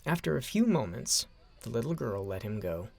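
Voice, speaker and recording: A man narrating a scripted story in a dramatic, expressive storytelling manner. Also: medium pitch, moderate pace, vocal fry.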